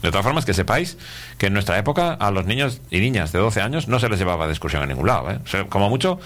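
A man's voice: on the low side, sing-song, very fast, a bit bright. A middle-aged man with a Castilian Spanish accent, interviewing as a radio host in a chatty, rambling way and often ending phrases with a questioning tag. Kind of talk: casual conversational interview.

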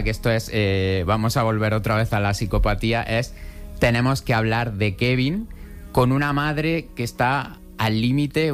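A man working as a film critic on a radio show, talking conversationally about films. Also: lowish voice.

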